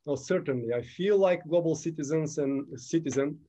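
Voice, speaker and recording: A man of about fifty from Bulgaria, answering interview questions in halting, phrasing and pausing to find his words. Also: on the high side, dark tone. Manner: halting, non-native phrasing, pauses to search for words. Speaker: guest.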